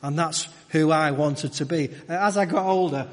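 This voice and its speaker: A middle-aged man preaching a conversational sermon, halting and repeating his phrases as he goes. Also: on the high side. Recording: echoey hall with long reverb.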